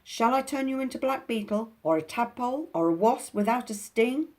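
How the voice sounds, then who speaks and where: A woman voicing a small, bold male pixie who talks fiercely and loudly in a storybook reading. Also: low-pitched, lively intonation, normal speed. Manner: fiery, indignant, boastful.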